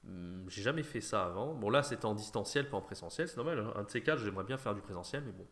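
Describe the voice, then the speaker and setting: Male online host, informal and slightly nasal, rapid casual commentary with a bright, forward tone. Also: fairly low pitch, animated. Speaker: YouTube host, online French teacher trainer. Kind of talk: casual chat, reaction commentary.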